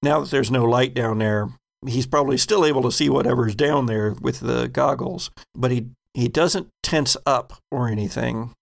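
An American man acting as game master, narrating a horror tabletop game in a suspenseful, deliberate way. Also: medium pitch, vocal fry.

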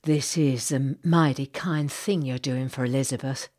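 A woman voicing an earnest, courteous gentleman captain, speaking in a grave, formal, measured way for an audiobook. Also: a bass voice, steady tone.

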